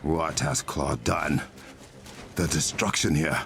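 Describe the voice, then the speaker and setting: A man's voice: a bass voice, slow pace, gravelly, slight background noise, heavily acted. An actor playing a proud, stern warrior king with an African accent, formal and commanding, in a dramatic video game. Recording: loud game score behind.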